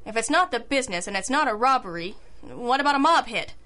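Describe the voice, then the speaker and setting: An actress playing a sharp, sardonic female private detective, brisk and needling, in old-time radio style. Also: a little high, expressive. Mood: sceptical, brisk. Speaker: detective and narrator.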